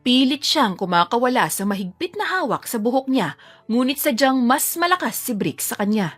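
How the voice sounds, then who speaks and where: Female audio drama narrator, fairly high voice, scripted storytelling over a music bed. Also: big pitch swings, normal speed.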